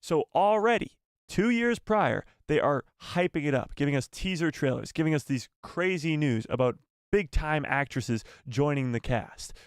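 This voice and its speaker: A man's voice: fairly high pitch, lively intonation, normal speed, clean tone, no room sound, studio quality. A man hosting a live fan news stream, reading news and adding rambling, self-correcting asides to his viewers. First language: not Spanish. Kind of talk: casual live news chat.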